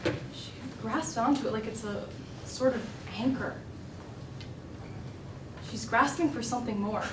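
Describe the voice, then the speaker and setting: An actress plays an opinionated woman who argues with earnest, emphatic frustration in a stage drama. Her voice is on the high side and comes from well back in a very echoey, noisy room. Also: unhurried, lightly acted. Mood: earnest, insistent. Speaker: stage actor. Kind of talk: stage play dialogue. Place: indoor stage, recorded from afar. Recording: echoey stage recording, distant mic, noisy.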